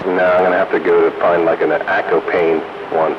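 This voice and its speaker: A man's voice: sits low, little pitch movement, fairly quick. A man chatting casually with fellow hobbyists over a CB radio. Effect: CB radio transmission. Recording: muffled CB radio with clear hiss.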